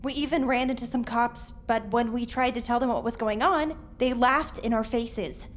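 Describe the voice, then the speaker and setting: A woman's voice: on the high side, fairly even intonation, moderate pace, close to an everyday voice. An actress playing a stubborn, wry young woman who fights monsters in an audio drama.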